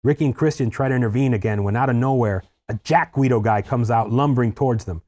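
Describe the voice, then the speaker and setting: A man's voice: mid-range voice, fast talker, darkish tone. A man reading stage directions aloud as narrator at a screenplay table read.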